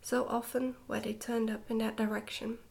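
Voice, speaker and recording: A woman reading a storybook in a soft-spoken ASMR style, slipping often into a whisper, with a gentle, hushed delivery. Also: on the high side, little pitch movement, moderate pace, gravelly. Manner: gentle, hushed delivery with some stumbles over words. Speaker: ASMR reader, channel host.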